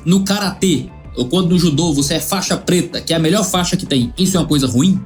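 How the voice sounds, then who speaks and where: A man's voice: on the high side, fast talker, faint music behind. A man from Ceará, hosting a theology channel and arguing his view through rhetorical questions. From Ceará, Brazil. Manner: argumentative, full of rhetorical questions. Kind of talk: opinion commentary.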